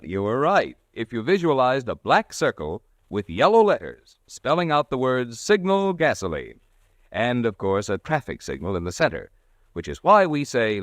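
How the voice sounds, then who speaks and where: A man's voice: mid-range voice, no room sound. A man reading a sponsor's commercial as a 1940s radio announcer, in a salesman-like manner. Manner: lively, salesman-like. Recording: dry old radio broadcast.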